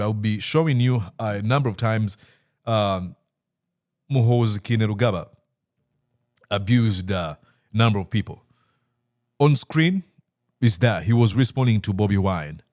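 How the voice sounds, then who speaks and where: A man's voice: fairly low pitch, moderate pace, pauses often, darkish tone, no echo, clean studio sound. A man from Uganda hosting a political talk show, speaking in a discursive way with frequent "uh" fillers and easy laughter. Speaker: host.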